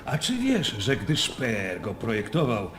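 An actor playing an outspoken, talkative German officer in a Polish radio drama, with a declamatory yet conversational delivery. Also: male voice, mid-range voice, huge pitch swings, unhurried, a bit bright, a little hiss or hum. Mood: musing, outspoken.

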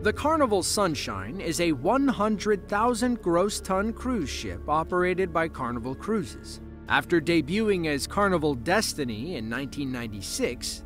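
A man narrating a scripted documentary in a lively storytelling way with dry asides. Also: a little high. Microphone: voice-over, studio mic. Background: soft background music.

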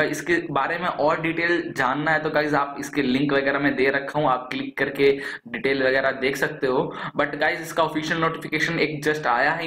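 A man's voice: a little high, pushed and bright. An Indian-accented man with a cold hosts a job alert video, explaining casually and chattily, addressing viewers as friends. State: has a cold, coughing and throat clearing. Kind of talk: casual explainer over screen recording. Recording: echoey room.

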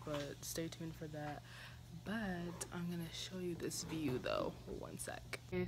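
A woman's voice: deep voice, noisy background. Bubbly young woman vlogging on her phone. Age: young adult. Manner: bubbly, chatty, uses slang. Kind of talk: casual travel vlog. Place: car, hotel room and busy outdoor plaza. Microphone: phone held at arm's length.

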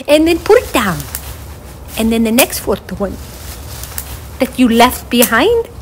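A middle-aged woman playing an eccentric, theatrical fortune-teller, giving a forecast in halting, repetitive phrases with solemn whimsy. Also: high voice, slight background noise, heavily acted, poor audio. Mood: calmly encouraging. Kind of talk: slow theatrical spoken forecast.

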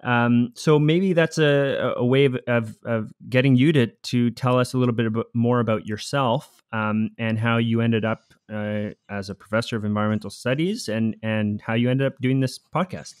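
A man's voice: medium pitch, moderate pace, few pauses, smooth, no room sound, clean studio sound. A man in his thirties, raised in Costa Rica, co-hosting a podcast as a professor, talking casually with many fillers. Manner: relaxed, loose, with frequent fillers and "you know". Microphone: studio microphone with headphones.

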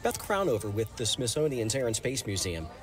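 A man's voice: medium pitch, sing-song, a little fast. Man in his 50s reporting outdoors. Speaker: TV news reporter. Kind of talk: live news report and voice-over narration. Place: outdoors on a large open city lawn.

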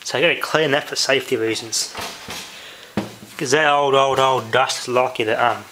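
Noisy handheld camera audio with clicks, recorded indoors. An Australian man gives a casual hands-on demonstration at a middling pitch. He talks offhand as he works, half to himself. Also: moderate pace. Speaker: hobbyist video maker. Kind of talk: casual hands-on demonstration, talking while working. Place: indoors at home, working by hand. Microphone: handheld camera microphone.

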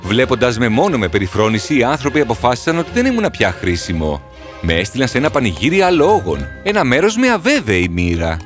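A man's voice: medium pitch, big pitch swings, lightly acted. Earnest, sorrowful horse storyteller played by a man. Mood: sorrowful, reflective. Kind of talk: storybook cartoon narration. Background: music.

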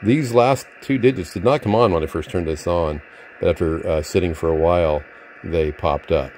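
A man's voice: sits low, moderate pace, a little mellow, a little hiss or hum. A middle-aged or older man giving a casual, unscripted product demonstration in a hesitant, rambling, self-deprecating manner. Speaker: vintage radio collector presenting a receiver. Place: indoor room, at a desk with radio gear.

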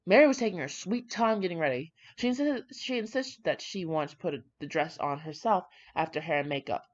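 Casual female reader, fairly low voice, brash and digressive, slightly dull home recording. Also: expressive, brisk. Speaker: fan fiction reader. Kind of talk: casual read-aloud with running commentary.

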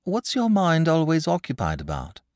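Earnest young boy, fretful and lecturing, lifted pitch, a man reading. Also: wildly swooping pitch, moderate pace, no room sound, clean studio sound. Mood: curious.

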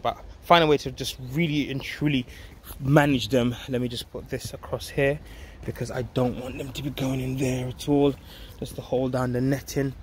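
A man in his thirties giving a casual, enthusiastic update to viewers, speaking emphatically into a handheld phone outdoors. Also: mid-range voice. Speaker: vlog host, allotment gardener. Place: outdoors on an allotment garden. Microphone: handheld phone at close range. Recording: outdoor ambient and traffic noise. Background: outdoor ambience, vehicle noise.

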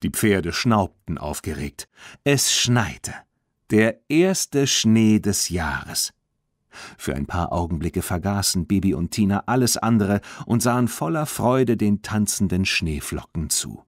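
A man reading a children's audiobook as narrator in an expressive storytelling manner. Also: fairly low pitch, slow, no room sound, studio quality.

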